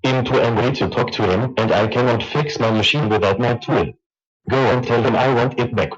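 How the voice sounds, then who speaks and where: An actor playing a gruff, quick-tempered working man in a children's audio story. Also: medium pitch, lo-fi sound, faint music behind, lightly acted. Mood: angry, exasperated. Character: gruff, quick-tempered man, an angry professor or grumbling farrier.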